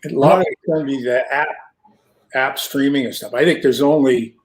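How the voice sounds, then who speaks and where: A man's voice: mid-range voice, moderate pace. A man, a hockey broadcaster, chatting casually and rambling with plenty of fillers on a video call. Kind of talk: casual chat.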